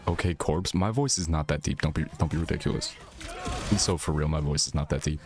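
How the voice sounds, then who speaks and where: Very deep-voiced man murmuring slowly. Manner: low, slow, soft-spoken ASMR delivery with blunt swearing; teasing, trash-talking teammates. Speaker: streamer. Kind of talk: casual gameplay commentary. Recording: game music playing behind voice. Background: game music and sound effects.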